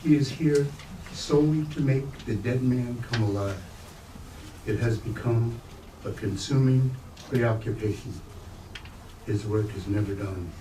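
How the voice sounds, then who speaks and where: Guy reading a novel out loud, mellow voice, roomy echo, bit of background noise. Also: mid-range voice, unhurried, pauses often. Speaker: reader at a staged book reading. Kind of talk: literary prose reading aloud. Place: bookstore event space, indoors. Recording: roomy, distant mic, faint noise.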